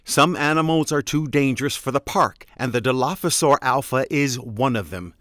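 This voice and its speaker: An actor playing a stubborn, frustrated park employee in an audio drama, talking bluntly and colloquially. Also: male voice, medium pitch, normal speed, fairly bright tone, no echo, studio quality, close to an everyday voice. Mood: defiant, exasperated.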